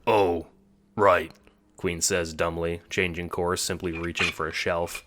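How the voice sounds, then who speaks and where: A man's voice: sits low, completely flat delivery, normal speed, sharp tone. A man narrating a scripted audio drama in the second person.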